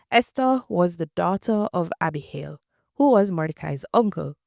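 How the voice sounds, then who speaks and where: A woman reading scripture aloud as a regular reader, in a measured, even way with pauses between clauses. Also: lowish voice, creaky, no room sound, studio quality. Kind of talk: read-aloud scripture narration.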